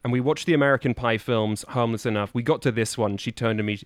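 A man's voice: mid-range voice, fast, no room sound, studio quality. A man chatting casually and jokingly as a contestant on a podcast quiz. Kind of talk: casual comic chat.

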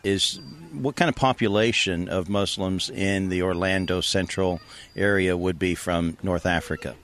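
A man acting as an evangelist interviewer, asking measured, probing questions about faith, with an occasional "uh". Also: sits low, steady tone, a bit bright. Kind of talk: street interview with scripted intro.

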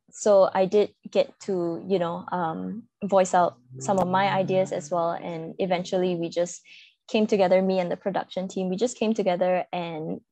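A woman's voice: medium pitch, dark tone, no echo, lo-fi sound. A woman with a Malaysian accent giving reflective, informal answers as a singer-songwriter guest in an interview.

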